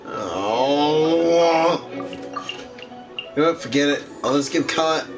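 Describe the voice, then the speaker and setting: A man with a high voice commentates on a video game and reads its text aloud. He sounds away from the mic, with game music clearly behind him. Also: slow pace, far from the mic. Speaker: gameplay streamer doing a walkthrough. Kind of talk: gaming commentary, reading on-screen text aloud.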